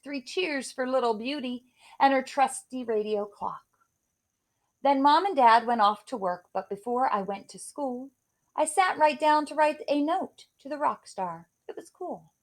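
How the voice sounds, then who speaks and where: High, animated woman reading a storybook. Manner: theatrical, emphatic delivery of rhymes. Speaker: storybook reader. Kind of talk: expressive read-aloud of a rhyming children's story.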